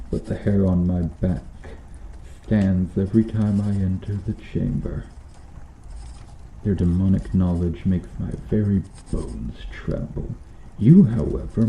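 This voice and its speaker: A man playing a bitter, sardonic medieval knight in a slow, intimate ASMR roleplay, speaking deliberately with quiet menace. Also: lowish voice, vocal fry, muffled recording. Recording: roomy echo with faint noise.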